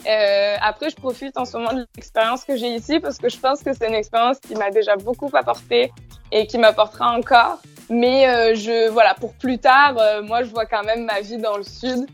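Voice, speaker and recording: Higher-voiced young French woman, hesitant. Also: fairly even intonation, moderate pace, faint music behind. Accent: southern French with slight Quebec traces. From southern France. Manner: hesitant, searching for words. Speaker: interview guest. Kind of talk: casual spoken interview answers.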